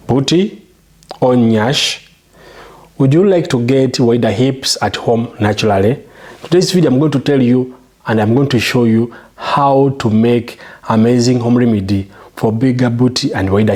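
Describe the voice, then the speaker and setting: A man's voice: mid-range voice, a little mellow. A man with a Ugandan English accent hosts a how-to video. His delivery is emphatic and promotional, walking viewers through steps and repeatedly checking that they follow. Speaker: channel host.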